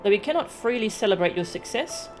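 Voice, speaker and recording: A woman's voice: mid-range voice, expressive, voice pushed up, lightly acted. Woman reading as a formal, solemn fantasy leader. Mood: grave, formal. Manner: formal, measured, archaic phrasing. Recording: game music behind the voice.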